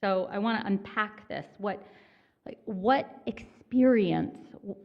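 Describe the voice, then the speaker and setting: A woman minister giving a sermon in a church, conversational and gently self-mocking in her humor. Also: medium pitch, slow pace, vocal fry, muffled recording. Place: church sanctuary.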